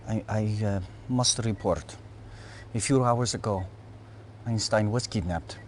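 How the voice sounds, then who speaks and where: An actor playing a boastful, defensive Soviet military commander in a video game, emphatic and blustering. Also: male voice, lowish voice, unhurried. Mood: nervous, defensive. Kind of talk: video game cutscene acting.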